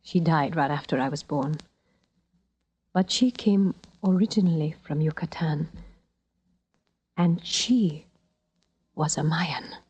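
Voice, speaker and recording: An actress playing an uneasy, brooding young woman in a vintage radio drama, with hushed, earnest, poetic delivery. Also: sits low, takes it slowly, long pauses, slightly rough, highs cut off, heavily acted. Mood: solemn confession. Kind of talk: 1940s-50s radio drama acting.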